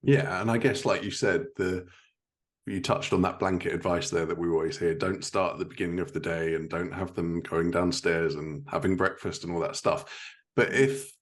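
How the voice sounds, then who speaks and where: Man, low pitch, podcast host, casual interview chat. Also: fairly even intonation, normal speed.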